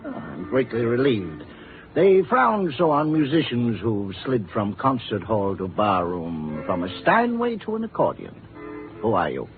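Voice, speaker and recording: Swooping, tipsy, washed-up musician. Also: male voice, lowish voice, very animated, unhurried, faint music behind. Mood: sardonic, grandiose. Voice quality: slightly thick-tongued. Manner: theatrical, florid, sardonic. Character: tipsy, bitter, washed-up concert musician in his forties. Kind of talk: 1950s radio drama acting. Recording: narrow, hissy 1950s radio recording.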